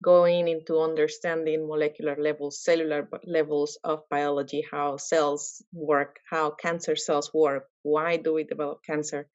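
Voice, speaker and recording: A woman from Colombia, a medical scientist, answering interview questions in a lively way, with many fillers and restarts. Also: low-pitched, moderate pace, hardly any pauses, dry recording, lo-fi sound.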